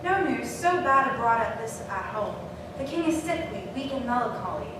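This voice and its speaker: A woman's voice: fairly high pitch, lively intonation, moderate pace, close to an everyday voice. A woman as courtly proud lord, echoing hall. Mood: grave. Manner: courtly, formal delivery. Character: newly freed lord Hastings, proud and vengeful. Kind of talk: theatrical Shakespearean staged reading. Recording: echoing noisy hall, distant mic.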